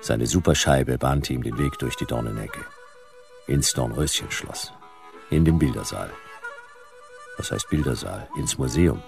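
A man's voice: a bass voice, measured pace, gravelly, long pauses, over music, heavily acted. A man playing a world-weary middle-aged private detective, narrating a noir radio play in a laconic, dry, clipped way. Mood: wry, laconic.

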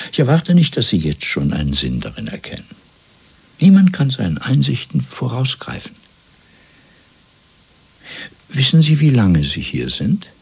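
Husky, gravelly, cold interrogator. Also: male voice, medium pitch, darkish tone, full character voice. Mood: cold, controlled. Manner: measured, formal, probing with rhetorical questions. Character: calm, cold male party interrogator, methodical and menacing. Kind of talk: German radio play acting. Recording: restored 1970s radio drama recording.

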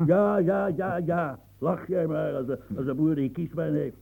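Old french marshal, gravelly, husky, blustering, coarse, radio play. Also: male voice, a little high, steady tone, a little slow, narrow, tinny audio. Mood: boastful. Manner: gruff, boastful, swings from muttering to outbursts. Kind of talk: radio drama acting.

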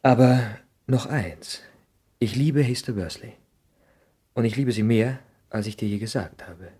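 An actor playing an ambitious, hot-headed young son, idealistic and argumentative, in a 1960s German radio drama. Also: male voice, mid-range voice, unhurried, dark tone, slightly rough. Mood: defiant, impassioned. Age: young adult. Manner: impassioned, argumentative.

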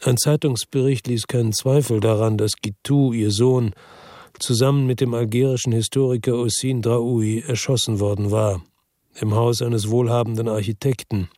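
A man's voice: mid-range voice, mellow, no room sound, studio quality, lightly acted. An actor playing a world-weary former policeman from Marseille, narrating his case soberly and laconically in a radio drama. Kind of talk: first-person radio drama narration and dialogue.

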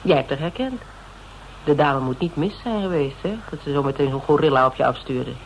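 Female interrogator, low-pitched, shrewd, taunting, conversational, radio drama, slightly noisy recording. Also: brisk. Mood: probing. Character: shrewd, mocking female interrogator pressing a photographer.

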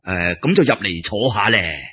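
男講古佬扮白頭老伯，聲沙、客氣. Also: male voice, on the low side, expressive, slightly rough, pitched below the performer's own voice. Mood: courteous, genial. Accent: Cantonese. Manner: halting, chuckling, polite old-fashioned speech. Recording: dull lo-fi radio-style recording.

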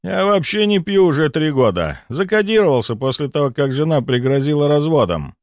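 A man's voice: on the high side, very animated, fairly quick, dry recording, voice pushed up. A man voicing an anxious, indignant male patient, plaintive and protesting, in an audiobook. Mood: defensive.

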